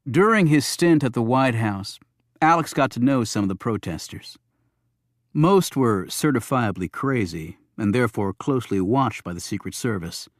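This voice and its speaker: A man's voice: medium pitch, animated, normal speed, no echo. Man narrating a thriller audiobook, dry sound.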